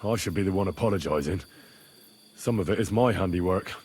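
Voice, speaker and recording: An actor playing an earnest, determined young squire in a medieval video game, polite and plain-spoken. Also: male voice, deep voice, brisk, a little mellow, slightly rough. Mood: concerned, apologetic. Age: young adult. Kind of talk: video game cutscene acting.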